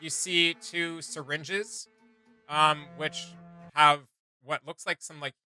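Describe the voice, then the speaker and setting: A man's voice: fairly high pitch, steady tone, slow, pushed and bright, faint music behind. A man giving casual reaction commentary as a video host, thinking aloud in a rambling way with trailing asides.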